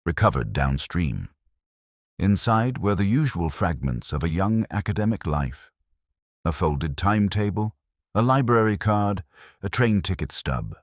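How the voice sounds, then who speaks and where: A man with an extremely low voice reads a scripted mystery. His delivery is measured and brooding, with pitch that moves expressively through each literary sentence. The sound is dry and close, free of room echo. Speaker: narrator of a detective story. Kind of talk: scripted first-person mystery narration.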